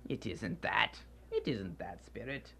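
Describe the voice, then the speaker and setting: A man's voice: on the low side, big pitch swings, unhurried, creaky, sharp tone, slightly rough, full character voice. A man playing an anxious, remorseful old miser in a theatrical, pleading, exclamatory radio drama style. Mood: wistful regret. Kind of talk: live student radio drama acting.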